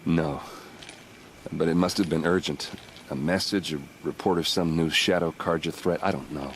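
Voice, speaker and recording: An actor playing a gruff, self-deprecating soldier in a video game, blunt and wry, with a weary manner. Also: male voice, rumbling low, fairly even intonation, moderate pace, fairly bright tone, slight background noise. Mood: bitter, vengeful. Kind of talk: dramatic video game acting.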